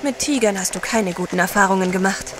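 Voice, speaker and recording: A woman's voice: mid-range voice, quick pace, noisy background, natural voice. An actress playing a spirited, affectionate lady adventurer in an audio drama. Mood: teasing. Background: dramatic music.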